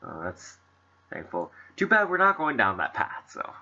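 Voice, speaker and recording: A man's voice: mid-range voice, huge pitch swings, normal speed, sharp tone, dull audio, a little hiss or hum. A man with a stuffy, congested nose, rambling chattily in casual commentary on a game he is playing. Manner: rambling, chatty, many fillers. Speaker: let's play host.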